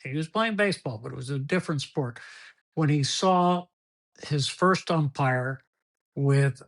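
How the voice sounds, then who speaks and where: A man's voice: fairly high pitch, unhurried. An older man whose first language is Spanish, telling rambling stories as a podcast guest, often repeating words and laughing as he goes. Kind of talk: storytelling interview.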